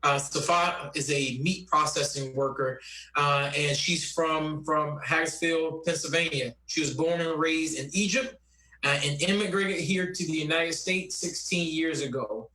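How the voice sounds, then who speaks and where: Bright-voiced man moderating. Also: a little high, reverberant, low-quality recording. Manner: quick, with fillers and restarts. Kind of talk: moderating a town hall.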